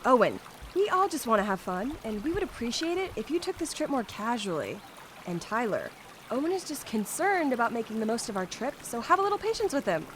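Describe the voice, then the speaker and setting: A woman's voice: a little high, very animated, a little fast, noisy background. A woman playing a bold, determined young hiker in an amateur audio drama. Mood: exasperated. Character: bold, determined young woman, peacemaker among hiking friends.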